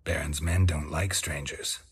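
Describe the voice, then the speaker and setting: A man playing a gruff, middle-aged monster-hunting mercenary in a video game, terse and dry, with a gravelly voice. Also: a bass voice, steady tone, unhurried, full character voice. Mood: stern, unbothered. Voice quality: gravelly, husky. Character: gruff stoic male monster-hunting mercenary, weary and menacing.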